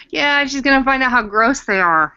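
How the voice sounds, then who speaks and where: Young female co-host, casual recap chat, bright forward voice, gushing and jokey, dull low-fidelity audio. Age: young adult. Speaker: podcast co-host. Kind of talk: casual chat discussing a TV drama.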